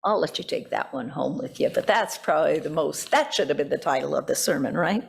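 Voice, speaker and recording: A middle-aged or older woman, a pastor, preaching reflectively in measured phrases with deliberate pauses. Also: sits low, lively intonation, vocal fry, husky. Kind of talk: sermon with poetry quotations.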